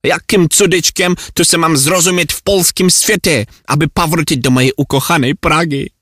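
A man's voice: a little high, fairly even intonation, moderate pace, fairly bright tone, dry recording, studio quality. A man playing a hapless, exasperated Czech lost in Poland, ranting in a comic monologue with a Czech accent. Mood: pleading despair. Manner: flustered ranting in garbled mock Czech-Polish.

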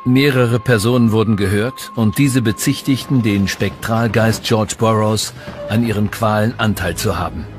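A man with a fairly low voice gives scripted commentary for a history documentary. Music is clearly audible behind him. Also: steady tone, moderate pace.

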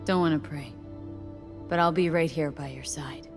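An actress playing a tough young mercenary woman in a video game, talking in clipped, casual, steady phrases. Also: low-pitched, normal speed, gravelly. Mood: grave, blunt. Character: tough, blunt young female mercenary, plain-spoken and steady. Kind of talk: video game dialogue acting. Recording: music behind the voice.

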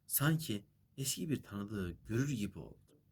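A man's voice: lowish voice, expressive, normal speed, a little mellow, breathy edge. A man voicing a contented, relieved father in a fairy tale, speaking with ease.

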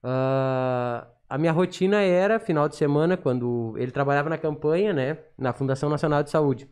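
Man in his thirties, gaucho southern Brazilian accent, fairly high voice, casual storytelling, podcast studio. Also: normal speed, a little mellow, clean tone. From Rio Grande do Sul, southern Brazil. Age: 30s. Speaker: podcast guest, self-taught accordion player and teacher. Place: small studio, indoors. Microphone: podcast microphone on boom arm, talking through cloth mask.

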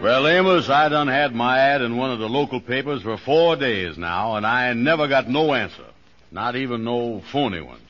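A man's voice: mid-range voice, animated, normal speed, fairly bright tone, faint music behind, close to an everyday voice. An actor playing a boastful, naive, self-important man with folksy, ungrammatical delivery in a vintage radio comedy. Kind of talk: 1940s radio comedy acting. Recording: dull vintage radio recording.

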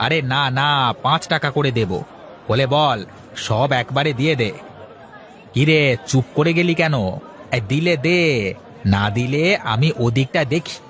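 A man's voice: fairly high pitch, a little slow, long pauses, fairly bright tone, some chatter behind. A man voicing brash village market folk in a cartoon, with a blunt, teasing, colloquial manner. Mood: pushy. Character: brash male market vendor and haggling customer, teasing, grumbling. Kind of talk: cartoon character dialogue.

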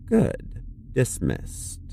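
A man's voice: extremely slow, warm tone, slight background noise. An actor playing a pompous, condescending corporate councilman in an audio drama, cold and authoritarian, with clipped formal delivery. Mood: smug, menacing.

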